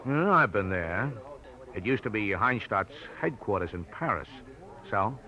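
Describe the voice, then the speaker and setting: An actor playing a cocky, flirtatious, shrewd reporter with an American accent and a slangy, sardonic way of talking, in a 1940s radio drama. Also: male voice, fairly low pitch, sing-song, a little slow, bright, forceful tone, full character voice. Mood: probing, sardonic. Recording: muffled old radio broadcast recording.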